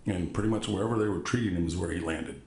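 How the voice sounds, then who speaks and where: Deep gravelly man giving testimony. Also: darkish tone, echoey room, slight background noise. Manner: halting, polite, full of restarts. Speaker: trial witness. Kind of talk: courtroom testimony. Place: courtroom.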